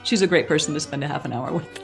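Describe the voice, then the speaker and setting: Female podcast guest, notably low register, casual conversational delivery, light music bed. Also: quick pace, creaky. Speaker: podcast guest, theatre artistic director.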